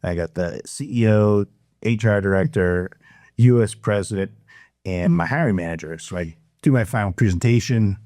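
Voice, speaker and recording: A man's voice: on the low side, moderate pace, warm tone, dry recording, studio quality. A man in his fifties from Massachusetts with a Massachusetts accent, telling stories as a podcast guest with restarts and playful teasing. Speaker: podcast guest, property management owner. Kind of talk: casual interview chat.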